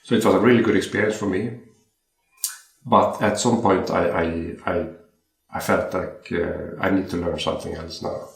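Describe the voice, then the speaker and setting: A young Swedish man who works as a watchmaker, chatting in a relaxed, hesitant way and often repeating words as he recalls his training and jobs. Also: deep voice, normal speed, creaky. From Sweden. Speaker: watchmaker guest. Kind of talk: casual interview chat. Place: room in a home. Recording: roomy home interview.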